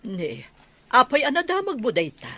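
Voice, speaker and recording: A woman's voice: high voice, wildly swooping pitch, moderate pace, fairly bright tone, no echo, heavily acted. A woman acting as a defiant housekeeper in a radio comedy drama, arguing back with indignation. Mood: indignant. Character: defiant female housekeeper-caretaker guarding her employer's house.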